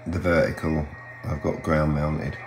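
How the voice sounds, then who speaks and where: An older man talking casually and haltingly in a low-key, unhurried way, like a hobbyist vlogging at home. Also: little pitch movement, music in the background. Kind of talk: casual hobby vlog commentary. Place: small home radio room, indoors. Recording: roomy sound with faint hum.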